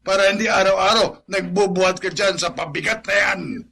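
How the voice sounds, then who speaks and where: A mean filipino dad, high sharp voice, scolding and scornful, radio drama. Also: male voice, steady tone, moderate pace, vocal fry, slightly rough, full character voice. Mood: scornful, impatient. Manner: scolding, blunt, impatient.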